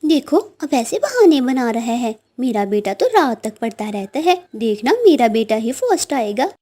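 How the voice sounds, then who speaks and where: A woman voices a doting, boastful mother bird in a children's cartoon. She sounds proud and scolding, in a high-pitched voice. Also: sing-song, normal speed, warm tone. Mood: boastful, scornful. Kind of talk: children's cartoon story acting.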